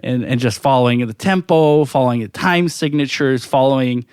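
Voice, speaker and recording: A man's voice: mid-range voice, normal speed, smooth, no echo, clean studio sound. A man whose first language is Spanish, telling stories in a casual podcast chat, full of fillers and restarts. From Mexico. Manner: animated storytelling with many fillers and restarts. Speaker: podcast guest, music producer.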